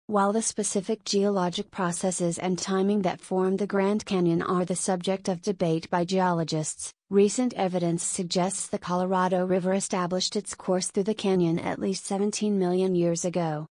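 Woman, careful exam reading, flat delivery, dry sound. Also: on the low side, normal speed, hardly any pauses, no room sound. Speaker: sample answer reader. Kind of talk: exam read-aloud sample.

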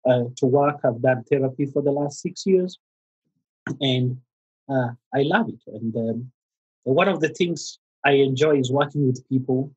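A middle-aged man with a Kenyan accent, a therapist speaking as a podcast guest, pausing often with fillers and restarts. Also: mid-range voice, darkish tone, husky, highs cut off. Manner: halting, many fillers and restarts. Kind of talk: conversational interview.

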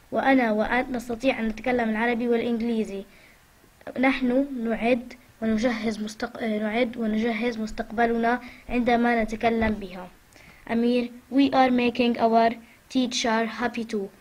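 A woman teacher with an Arabic accent, a native Arabic speaker, reading a lesson aloud carefully and translating it, halting at times in English. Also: a little high, fairly even intonation, darkish tone.

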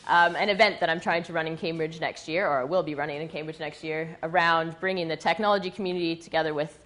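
A woman from western Canada with a scratchy, husky voice, giving a chatty slide presentation with many ums, recorded from a camera across a dim room. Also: low voice, fairly even intonation, quick pace, a bit bright. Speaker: guest speaker. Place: dim meeting room with projector. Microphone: far camera.

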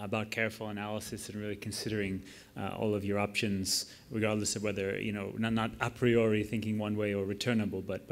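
Male session moderator on a conference stage, low voice, halting and filler-heavy.

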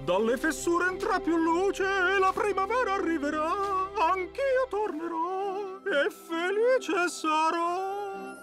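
A very high, theatrical stork from a children's cartoon. He laments and speaks in solemn sayings. Music plays behind the voice. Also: slow pace. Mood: lyrical. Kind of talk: dubbed children's cartoon acting.

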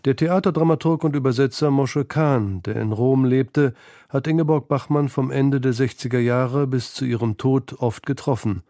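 A man narrates a literary radio feature in a mellow, relaxed tone. His delivery is composed and articulate, recorded dry in a studio. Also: medium pitch, moderate pace, dry recording. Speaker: documentary narrator.

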